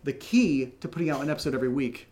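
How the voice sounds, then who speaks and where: A man's voice: mid-range voice, animated, rapid-fire, darkish tone. A man co-hosting a podcast, reading out questions and chatting casually in a teasing, self-deprecating way. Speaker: co-host of an audio drama podcast reading listener questions. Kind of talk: casual unscripted Q&A chat.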